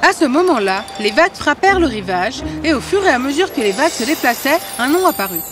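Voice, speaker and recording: A woman's voice: high voice, sing-song, fast talker. A woman narrating a scripted fairy tale as a storyteller. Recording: music behind the voice.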